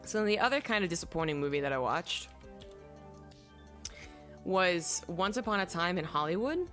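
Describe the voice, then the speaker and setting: A woman's voice: lowish voice, expressive, moderate pace, fairly bright tone, faint music behind. A young adult woman casually reviewing films, rambling and stopping to search aloud for names. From native English speaker. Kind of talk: casual film review chat.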